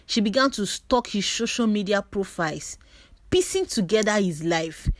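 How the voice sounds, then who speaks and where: Woman telling a dramatic story, really animated pitch, dry recording. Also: moderate pace, dry recording. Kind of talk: dramatic scripted story narration.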